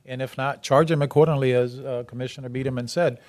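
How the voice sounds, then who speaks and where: Flat-voiced man at a city meeting. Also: medium pitch, fast, no echo. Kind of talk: public meeting discussion.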